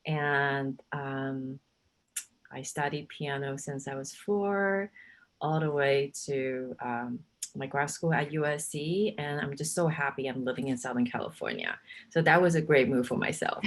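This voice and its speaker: Casting: low-pitched middle-aged woman from Taiwan, chatty guest, laughs easily. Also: measured pace. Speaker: guest, real estate agent. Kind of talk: casual interview chat.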